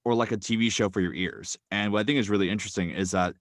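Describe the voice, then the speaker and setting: A man's voice: fairly low pitch, fast talker, no echo, studio quality. A man guest on a podcast who talks casually and hesitantly, full of filler words. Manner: hesitant, many ums and likes. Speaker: podcast guest, audio drama writer.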